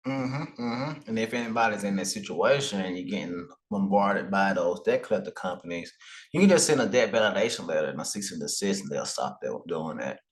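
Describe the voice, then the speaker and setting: A man with a fairly low voice, speaking as a podcast guest. He lays out his advice calmly and in a measured way, like an expert explaining the rules. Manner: calm, explanatory advice-giving. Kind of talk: casual podcast interview.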